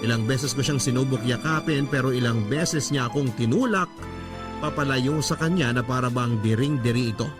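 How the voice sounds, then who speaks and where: Male Filipino radio drama narrator, mid-pitched, first-person storytelling over a clear music bed. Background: background music.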